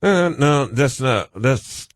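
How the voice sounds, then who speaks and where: Halting, emphatic man with florid phrasing. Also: mid-range voice, lively intonation, dry recording, studio quality. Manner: halting, repetitive, emphatic, florid formal phrasing mixed with slang. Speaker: guest being counselled. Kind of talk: unscripted conversation.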